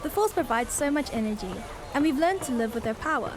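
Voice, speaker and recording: Fisherwoman, female actor, radio drama: high voice, easygoing and welcoming, noisy watery ambience. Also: animated, fast. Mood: explaining, easygoing. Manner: breezy, reassuring, friendly. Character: friendly, easygoing fisherwoman and generous local guide.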